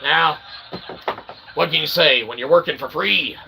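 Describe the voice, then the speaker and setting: Gravelly sarcastic man with noise behind. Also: fairly high pitch, measured pace. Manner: sarcastic, self-mocking, keeps asking "okay?". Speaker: independent channel host. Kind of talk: rambling self-promotional monologue.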